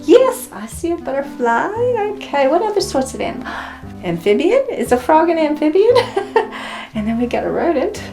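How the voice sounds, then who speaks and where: A woman doing an expressive, interactive storybook read-aloud for children, speaking deliberately and prompting listeners with questions. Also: high-pitched, creaky, soft background music. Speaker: children's religion teacher reading aloud.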